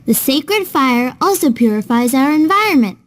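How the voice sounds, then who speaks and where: A woman's voice: high-pitched, animated, normal speed. A woman playing a patient, kindly older sister who explains things to a child in a scripted children's educational cartoon.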